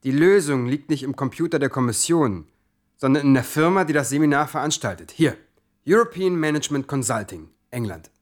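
A man's voice: fairly high pitch, expressive, fairly quick, lightly acted. A man playing a cocky young hacker. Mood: brash. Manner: brash, impatient, cocky. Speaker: hacker helping a journalist. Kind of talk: scripted TV drama acting.

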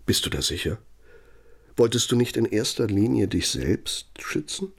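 An actor in a radio drama playing an aging, bitter Nazi architect, speaking in a reproachful, deliberate, rhetorical manner. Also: male voice, fairly low pitch, animated, gravelly, slightly rough, exaggerated voice. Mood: accusing, threatening. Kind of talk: German radio drama monologue.

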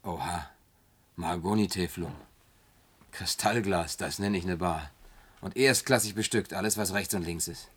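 An actor dubbing an easygoing man in a film who talks in a dry, low-key way with wry humor. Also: moderate pace, a bit bright, slightly rough. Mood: wary. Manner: dry, low-key, at times mock-formal like a waiter. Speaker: survivor in a film, dubbed.

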